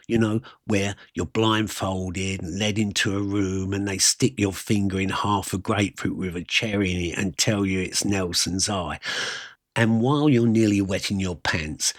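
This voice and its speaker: A man narrating a first-person story aloud, reading literary prose with dramatic pauses and a husky voice. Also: lowish voice, a bit bright, dry recording, clean studio sound.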